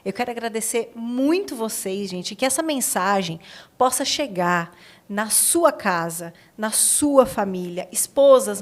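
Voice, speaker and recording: A woman with a Brazilian accent, hosting a podcast interview in a conversational style. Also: fairly high pitch. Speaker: podcast host.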